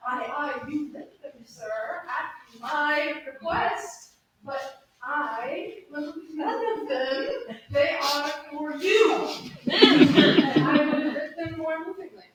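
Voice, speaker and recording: A woman playing a coy, teasing young noblewoman in a Shakespearean comedy, with arch pauses and pointed emphasis. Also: sits high. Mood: exasperated. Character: coy, teasing young noblewoman, easily exasperated with her suitor. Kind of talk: Shakespearean comedy staged reading. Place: large echoey indoor room, staged reading. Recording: very echoey hall, distant, faint noise.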